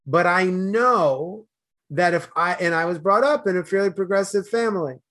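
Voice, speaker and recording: Emphatic Boston man, fairly high voice. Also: moderate pace. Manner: earnest, emphatic, gets loud when animated. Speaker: guest. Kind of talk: casual interview chat.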